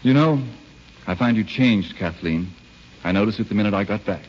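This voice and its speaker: A man's voice: lowish voice, moderate pace, natural voice. An actor playing a persistent, teasing young male suitor in a 1930s radio drama, with light banter. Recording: old muffled radio, faint noise.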